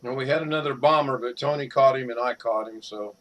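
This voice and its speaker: Bright-voiced joking man. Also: medium pitch, fast. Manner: joking, chatty. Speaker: show host. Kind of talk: casual group chat. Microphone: video call.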